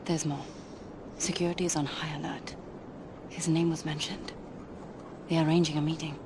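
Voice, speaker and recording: A woman's voice: sits low, level tone, normal speed. A woman playing a wary, loyal rebel informant with an Indian accent, reporting urgently in a video game. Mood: urgent, secretive. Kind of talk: dramatic video game acting. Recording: game scene with noisy ambience.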